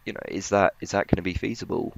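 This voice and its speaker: A man with a very low voice explains markets as a journalist would. His intonation stays quite flat and his phrasing is halting. The audio is slightly dull and muffled. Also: vocal fry, no echo. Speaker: financial journalist. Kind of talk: conversational market analysis.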